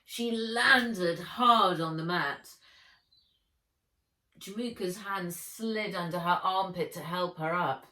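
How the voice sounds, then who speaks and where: A woman's voice: fairly low pitch, takes it slowly, bright, forceful tone. A woman narrating a children's adventure novel as an audiobook, expressively and with dramatic emphasis. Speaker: author reading own book aloud. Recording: echoey room.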